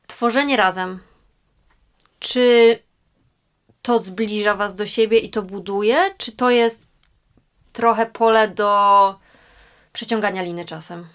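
A woman interviewer asking questions conversationally and rephrasing them to make them clear. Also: mid-range voice, fairly even intonation, takes it slowly, pauses often, fairly bright tone.

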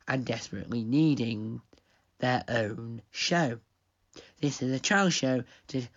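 Gravelly, rambling young man. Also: medium pitch, dull audio. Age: young adult. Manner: rambling, digressive. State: losing his voice. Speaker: radio station owner. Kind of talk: comic pre-recorded radio intro.

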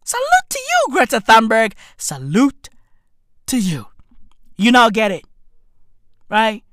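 A comedian from Africa, delivering an emphatic, repetitive rant to camera, heavy with swearing and dropping at times to a whisper. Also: male voice, extremely high pitch, huge pitch swings, slow, fairly bright tone, no echo, studio quality. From South Africa. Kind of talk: ranting monologue to camera.

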